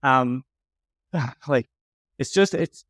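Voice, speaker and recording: A man's voice: medium pitch, drawn-out words, a bit bright, no room sound. A man giving frank, emphatic advice in a conversational critique, like an audio consultant reviewing someone's work.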